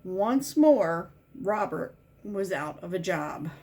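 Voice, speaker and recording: A woman reading a children's picture book aloud as a volunteer, in simple, deliberate storytelling with emphasized key words. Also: lowish voice, expressive, mellow, slight background noise.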